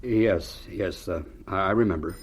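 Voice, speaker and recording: A man playing a kindly, hesitant family father in a radio drama, halting, folksy and affectionate. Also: low-pitched, husky. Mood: flustered, subdued.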